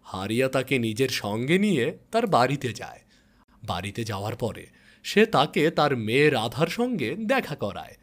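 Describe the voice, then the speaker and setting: A man's voice: mid-range voice, big pitch swings, normal speed. A man narrating a scripted moral tale for children in a dramatic storytelling style.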